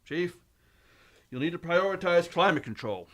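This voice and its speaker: A man playing a gruff, stoic starship captain in a science fiction radio play, giving clipped, authoritative orders. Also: high voice, expressive, normal speed, a bit bright. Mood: commanding.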